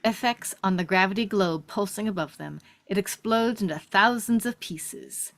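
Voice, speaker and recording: A woman's voice: mid-range voice, normal speed, a bit bright. A woman narrating and hosting a script table read, moving between read stage directions and casual chat.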